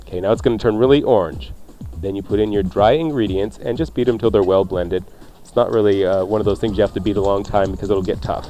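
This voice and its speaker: A man's voice: lowish voice, quick pace, mellow. A man hosting a radio cooking show, talking through recipes in a casual, conversational way with jokey asides. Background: faint music.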